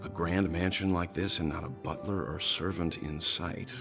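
An actor playing a calm, cautious middle-aged gentleman traveler in a space-fantasy game, with a deliberate, reserved delivery. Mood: calm, wary. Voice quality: deep, gravelly. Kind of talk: scripted game voice acting. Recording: game music behind the voice.

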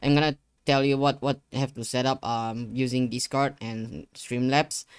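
A young man giving a casual software tutorial, talking himself through each step in an informal, rambling way. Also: medium pitch, steady tone, normal speed, clear, resonant tone, dry recording, clean studio sound. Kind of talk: casual screen-share tutorial. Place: indoor living room gaming setup. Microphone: close desktop USB microphone.

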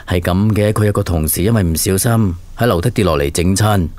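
A man's voice: sits low, fairly quick, a little mellow, dry recording, natural voice. An actor playing a considerate, persuasive adult son and father in a radio drama. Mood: casual, gentle. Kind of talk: Cantonese radio drama acting.

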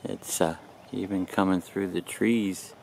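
An older man chatting casually while he films outdoors at a flying field, relaxed and rambling with lots of "uh" pauses, picked up by the camera mic. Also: low-pitched, vocal fry, a little hiss or hum. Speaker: vlogger filming at a model airfield. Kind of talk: casual narration while filming.

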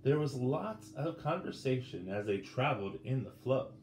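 Animated middle-aged man reading a storybook. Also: mid-range voice. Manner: animated, dramatic pauses, asks listeners questions. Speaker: teacher reading a picture book to children. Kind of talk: expressive children's storybook read-aloud. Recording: roomy indoor sound with faint background noise.

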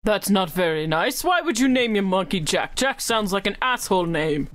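A man's voice: sits high, very animated, normal speed, fairly bright tone, slight background noise. A man playing an addled, childish pirate in an improvised comedy fandub, befuddled and silly. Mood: indignant, silly.

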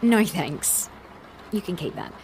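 A woman's voice: fairly low pitch, lively intonation, slow, a little mellow. Young girlfriend played by a woman, noisy background. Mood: teasing. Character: teasing young girlfriend, excited holidaymaker. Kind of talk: audio drama dialogue. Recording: clear background noise under voice.

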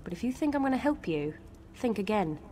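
A woman's voice: mid-range voice, sing-song, fairly quick, warm tone, a little hiss or hum, natural voice. A woman playing a proud, hostile elf guard in a fantasy video game, curt and threatening.

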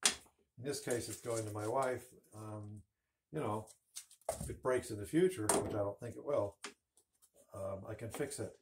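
A man's voice: fairly low pitch, unhurried, long pauses, heavy background noise. A man in his fifties hosting a woodworking channel, chatting in a rambling, self-correcting way while showing his work in a home woodshop, talking to a phone camera held at arm's length. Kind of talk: casual workshop demonstration. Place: home woodshop with a low ceiling.

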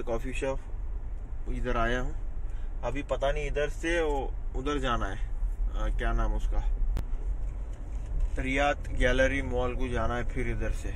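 A man's voice: mid-range voice, poor audio. A man from India, a house driver, chatting casually to viewers as he vlogs his day while driving around the city. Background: faint traffic and street noise.

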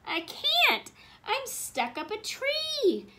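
A woman voicing a mischievous elephant trickster from a storybook, calling out in loud, drawn-out cries. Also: very high voice, huge pitch swings, pitched above the performer's own voice, heavily acted. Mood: plaintive.

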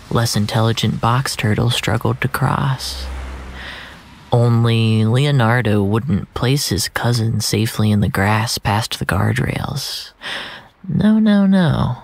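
A man narrating first-person fiction in a deliberate, brooding, dramatic way, drawing out his phrases. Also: mid-range voice, vocal fry. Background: music.

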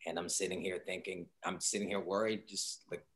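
A young man from Seattle talks over a video call. His voice sits very low, and his delivery is soft-spoken and reflective, full of small fillers. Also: little pitch movement, brisk. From Seattle, Washington. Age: young adult. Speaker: guest artist. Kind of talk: casual interview on a video call. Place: home room, indoors.

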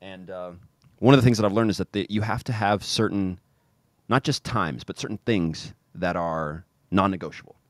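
Deep-voiced man, American accent, dry close mic, upbeat and rambling. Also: normal speed, no room sound. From Kansas City, USA. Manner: energetic, many fillers and restarts. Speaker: guest, pro soccer player. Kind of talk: casual podcast interview. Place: home room with football shirts on wall. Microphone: studio mic on boom arm, video call.

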